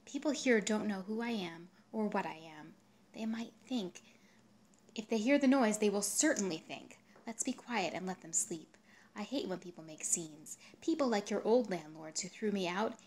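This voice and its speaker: A woman's voice: medium pitch, lightly acted. Melodramatic, self-important male suitor, performed by a female reader, insistent and pleading, for literary storytelling. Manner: insistent, grandiose, wheedling.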